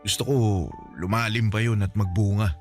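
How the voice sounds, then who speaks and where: An actor with a Filipino accent playing a devoted young suitor who pleads earnestly in a Tagalog radio romance drama. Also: male voice, fairly low pitch, steady tone, moderate pace, soft background music, close to an everyday voice. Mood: pleading, devoted.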